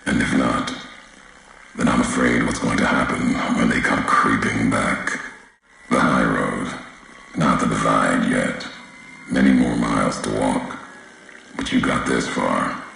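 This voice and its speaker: A brooding game courier, super slow gravelly rumble, cryptic and grim, really echoey with a bit of noise. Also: male voice, sharp tone, full character voice. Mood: measured, appraising. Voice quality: very deep, gravelly. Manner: slow, terse, cryptic, clipped fragments, ominous. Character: grim, brooding male courier and wasteland wanderer, philosophical. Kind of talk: video game character dialogue. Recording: heavily reverberant, faint background noise.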